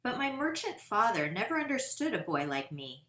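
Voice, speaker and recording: Woman reading as an eager boy storyteller, bright-voiced. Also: fairly high pitch, very animated. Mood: wistful, eager. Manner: dramatic, deliberate storytelling. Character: eager, determined boy kite flyer telling his own tale. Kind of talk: expressive picture-book read-aloud. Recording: roomy, echoing sound.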